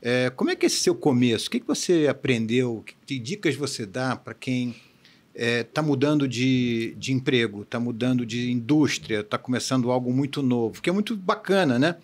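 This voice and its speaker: Man, podcast co-host, casual, rambling questions, mid pitch. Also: expressive, normal speed. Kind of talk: conversational podcast interview.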